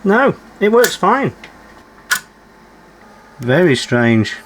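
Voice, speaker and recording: A man's voice: high-pitched, big pitch swings, drawn-out words. Bloke narrating a repair as he goes, really up and down intonation, muttering questions to himself, bit of background noise. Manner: musing aloud, asking himself questions. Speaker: electronics repairer presenting a repair video. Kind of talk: unscripted running commentary while working.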